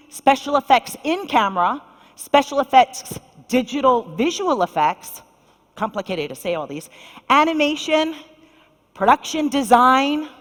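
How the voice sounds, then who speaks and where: A woman's voice: sits high, fairly bright tone. A middle-aged woman moderating a conference panel, speaking upbeat and directly to the audience. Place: conference hall stage. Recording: long reverb of a large hall.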